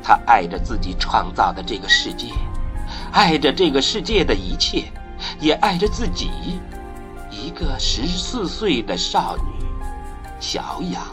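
A man narrating a radio drama as a solemn, expressive storyteller. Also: mid-range voice, a little slow. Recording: voice over prominent music.

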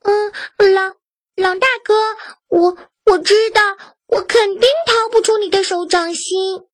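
A woman voicing a small, clever young male goat in a children's story, pleading in a timid, high voice. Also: expressive, measured pace, dry recording, studio quality. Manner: timid, pleading, then cheeky.

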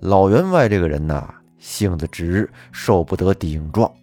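Man doing a shop manager, low slow voice, husky, fatherly. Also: expressive, warm tone, soft background music. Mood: advising.

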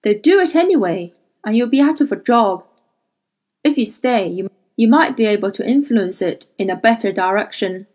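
A woman's voice: medium pitch, expressive, moderate pace, a little mellow, natural voice. A woman reading the part of a pushy, defensive male scientist who argues insistently. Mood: pressing. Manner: pressing, argumentative.

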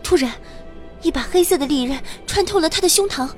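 A young heroine recounting her own fantasy adventure. Her voice is high, earnest and expressive in scripted dramatic narration. Music plays beneath it. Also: brisk. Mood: wistful, grave.

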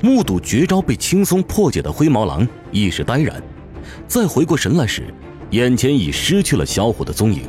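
A man's voice: mid-range voice, huge pitch swings, normal speed. A man narrating a fantasy audiobook in a theatrical, suspenseful style, over music. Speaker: narrator, professional voice actor. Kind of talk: dramatic scripted audiobook narration. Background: music bed.

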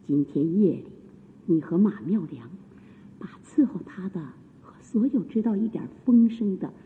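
Echoing in a long reverb, a woman plays a scheming empress who sounds both gracious and menacing. She speaks deliberately with lively swoops, and the old radio-play sound is tinny. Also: mid-range voice, a little hiss or hum, natural voice. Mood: cold, hushed. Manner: commanding, deliberate, insinuating. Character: imperious, scheming, falsely kind empress. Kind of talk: theatrical Chinese radio drama acting.

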